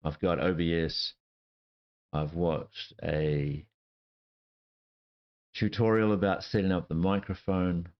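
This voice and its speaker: A man in his fifties from New Zealand, with a Kiwi accent worn down by years abroad, introducing himself in a halting, unscripted way full of fillers and self-corrections. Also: sits low, little pitch movement, dull audio. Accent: New Zealand, softened by years abroad. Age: 50s. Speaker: channel host. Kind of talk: casual self-introduction to camera. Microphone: laptop with webcam and desk microphone.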